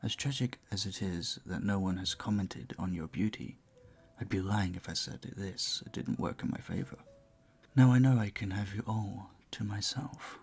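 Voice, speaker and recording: Man playing a suave, gravelly vampire bartender. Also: lowish voice, a little mellow, exaggerated voice. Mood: flirtatious, teasing. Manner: suave, playful, teasing. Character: charming flirtatious male vampire bartender, teasing and attentive. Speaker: voice actor in an audio roleplay. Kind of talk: intimate scripted roleplay, speaking to the listener.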